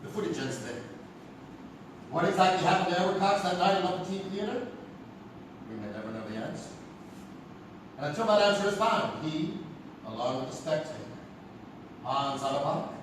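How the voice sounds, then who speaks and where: A man playing a sinister, theatrical host narrating an eerie mystery anthology in a live radio drama, with a dramatic, ominous delivery. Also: high-pitched. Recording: very echoey theater, distant mic, noisy.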